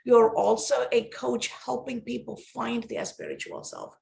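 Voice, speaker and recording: A woman hosting a wellness podcast, speaking in a slow, emphatic, inspirational way. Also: a little high, wildly swooping pitch, vocal fry, darkish tone. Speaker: podcast host.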